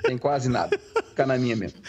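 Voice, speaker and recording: A man's voice: mid-range voice, animated, fast talker, a little mellow, slightly rough. A man chatting casually as a co-host on a podcast. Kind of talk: casual chat.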